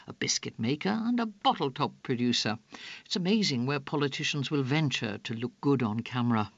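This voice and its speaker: A man presenting a radio programme, reading a scripted link in a brisk announcer manner. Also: medium pitch, creaky, fairly bright tone, no room sound, highs cut off.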